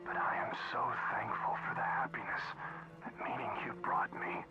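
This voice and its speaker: A man's voice: dull audio, music in the background, natural voice. Man acting a weak, dying alien husband. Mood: tender, fading. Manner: halting, breathy last-words delivery. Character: dying alien husband, gentle, grateful and loving. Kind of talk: video game cutscene acting.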